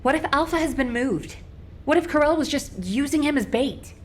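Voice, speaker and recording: A woman's voice: high-pitched, expressive, normal speed, a little hiss or hum. An actress playing a determined woman fighter with a wry, teasing streak. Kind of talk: game cutscene voice acting.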